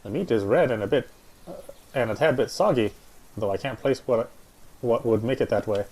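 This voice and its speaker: A man's voice: mid-range voice, brisk, a little mellow, husky, poor audio. A man reading first-person visual novel narration aloud.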